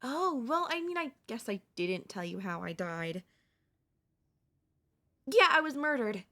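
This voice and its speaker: A woman's voice: on the high side, sing-song, unhurried, fairly bright tone, heavily acted. A woman voicing a cute, affectionate young ghost girl in an intimate ASMR audio roleplay, casually frank and hesitant. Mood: nonchalant, frank. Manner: casual, hesitant, matter-of-fact about grim things. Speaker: voice actor.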